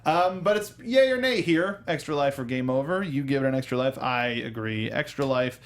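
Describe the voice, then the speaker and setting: A man's voice: mid-range voice, lively intonation, normal speed. A man hosting a film discussion show in a casual, chatty, rambling way with joking asides.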